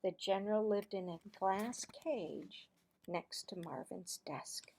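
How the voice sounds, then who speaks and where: A woman narrating a children's storybook aloud in an expressive, deliberate manner. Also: medium pitch, gravelly. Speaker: teacher-style read-aloud narrator.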